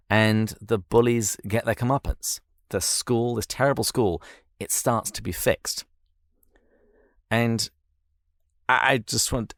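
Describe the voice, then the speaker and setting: Low-voiced male podcast host, dry close sound. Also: dry recording. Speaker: podcast host interviewing a guest. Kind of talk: thoughtful conversational interviewing.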